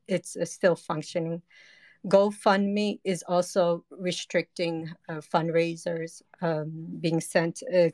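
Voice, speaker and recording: Woman, Afghan-born organizer, earnest, lowish voice. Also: darkish tone, dry recording. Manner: earnest, with frequent fillers. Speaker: campaign organizer. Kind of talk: speaking in an online audio discussion.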